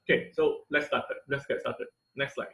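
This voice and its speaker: A man's voice: medium pitch, fairly even intonation, moderate pace, pushed and bright, reverberant. A man teaching an online robotics class over slides, with many hesitant fillers in his speech.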